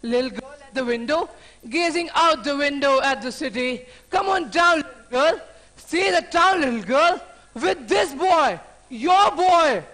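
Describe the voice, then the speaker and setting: This is a cheeky young suitor, bright and very high in tone, as a man acts him out. He pleads and serenades in a musical, wheedling sing-song. He flares up quickly when crossed. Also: animated, full character voice, poor audio. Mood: serenading. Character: cheeky young male suitor, playful, hot-tempered.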